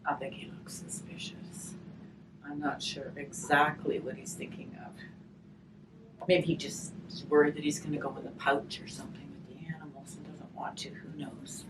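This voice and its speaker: A woman speaking softly and reflectively in an unhurried way, as if leading a gentle group session and storytime. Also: low voice, flat delivery. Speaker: hospice volunteer leading a grief session. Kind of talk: gentle spoken session with storybook read-aloud. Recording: distant microphone, clearly noisy room ambience.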